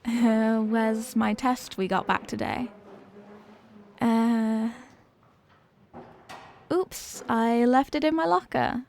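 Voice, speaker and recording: A woman's voice: a little high, drawn-out words, gravelly, pauses often, darkish tone, full character voice. A woman playing an evasive, flustered popular cheerleader being tutored, in an acted ASMR roleplay with a hesitant, defensive delivery. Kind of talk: ASMR roleplay, acted one-sided conversation.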